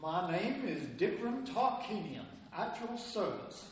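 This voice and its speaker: A man's voice: high voice, lively intonation, measured pace, slight background noise. A man playing a courtly, elaborately polite salesman in a stage play. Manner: elaborately polite, hesitant when pressed. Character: courtly, overpolite traveling male salesman. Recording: echoey hall from far off.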